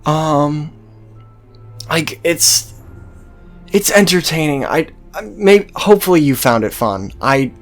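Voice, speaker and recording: A let's-play host with a medium-pitched voice talks slowly and casually over faint game music. The delivery rambles and hesitates, with plenty of swearing mixed in. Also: expressive. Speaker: host of a gameplay series.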